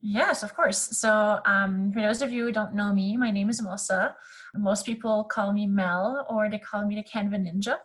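A woman in her thirties speaks English with a French accent; French is her first language. She talks as a podcast guest in a husky, slightly breathy voice. Her delivery is chatty and easygoing, full of fillers. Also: medium pitch, fairly even intonation, normal speed. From Canada. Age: 30s. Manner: chatty, many fillers, laughs easily. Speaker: podcast guest, graphic designer. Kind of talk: casual interview chat.

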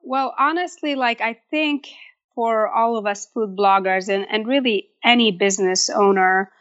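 A woman's voice: medium pitch, dull audio. A woman in her thirties from Egypt, a food blogger talking casually as a guest in an interview.

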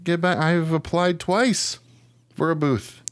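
A man's voice: on the high side, slow, no echo. A man hosting a podcast in casual chat, talking informally with some mild swearing and self-deprecation. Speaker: podcast host.

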